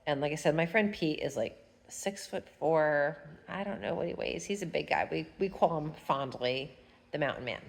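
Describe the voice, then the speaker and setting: A woman's voice: low-pitched, normal speed, gravelly. A woman with a stuffy nose, chatting casually at home while showing her knitting, humming to herself as she works. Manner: chatty, rambling, hums while working. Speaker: fiber arts vlogger. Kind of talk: casual knitting tutorial chat.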